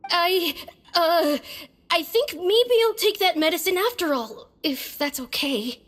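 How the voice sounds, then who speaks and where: A woman's voice: extremely high pitch, expressive, measured pace, fairly bright tone, heavily acted. A woman voicing a sulky, bratty young sick boy in a video game, whining and grumbling. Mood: uneasy, meek. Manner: whiny, grumbling. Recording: music behind the voice.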